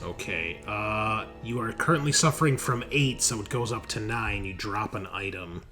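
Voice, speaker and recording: A man's voice: fairly low pitch, moderate pace. A man casually running a tabletop roleplaying game as game master, explaining rules in a conversational way. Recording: faint music behind the voice. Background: faint music.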